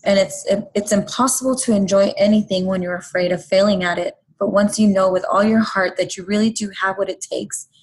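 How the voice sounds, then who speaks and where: A woman's voice: medium pitch, fairly even intonation, fairly quick, hardly any pauses, poor audio. A woman guest in a casual interview, earnest and reassuring, often laughing between thoughts. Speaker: guest mother.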